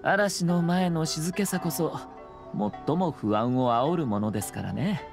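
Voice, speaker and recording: An actor playing a calm, courteous, scheming young nobleman in an anime game, speaking in a measured, confident way. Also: on the high side, creaky. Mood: composed, sly. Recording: music behind the voice.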